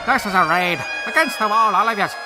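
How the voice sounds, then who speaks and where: An actor playing a bossy, blustering beat cop. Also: male voice, high-pitched, brisk, over music, natural voice, rough recording. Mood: commanding. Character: blustering old-time Irish beat cop.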